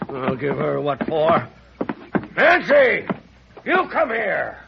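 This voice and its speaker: An actor playing a gruff, bad-tempered older rancher father with a gravelly, rural American voice, barking orders in 1950s radio western style. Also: fairly high pitch, wildly swooping pitch, takes it slowly, noisy background, full character voice. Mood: angry. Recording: muffled old radio recording.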